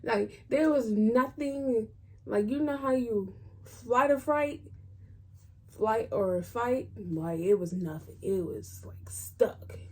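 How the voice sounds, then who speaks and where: A woman's voice: on the high side, animated, takes it slowly, mellow, slightly rough. A woman vlogger telling a personal story in casual, colloquial speech, acting out what people said. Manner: informal, colloquial phrasing.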